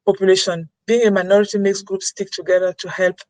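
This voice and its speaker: A woman from Brazil putting a measured, formal question to the speaker on a webinar. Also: medium pitch, level tone, a little mellow, dry recording, poor audio.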